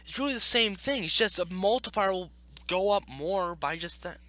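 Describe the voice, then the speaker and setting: A man with a high-pitched, bright voice hosts a casual reaction video. His delivery swings up and down a lot as he argues his points emphatically and circles back to repeat them. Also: lively intonation, normal speed, no room sound. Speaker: video host reacting to an article. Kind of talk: opinionated casual commentary with read-aloud passages.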